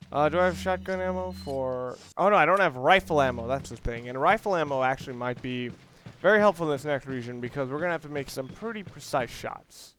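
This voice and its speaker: A man giving casual, explanatory let's play commentary, halting and rephrasing as he plays. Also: mid-range voice, a bit bright, slight background noise. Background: video game audio and music.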